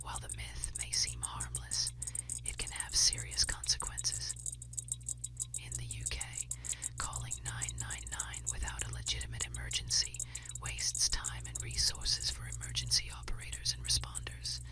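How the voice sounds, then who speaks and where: Softly whispering woman, ASMR bedtime narrator. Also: moderate pace. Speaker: ASMR host. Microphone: close-up microphone.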